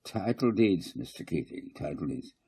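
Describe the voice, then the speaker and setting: Man reading as grumbling, worried land developer, husky. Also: low-pitched, expressive, normal speed, mellow, heavily acted.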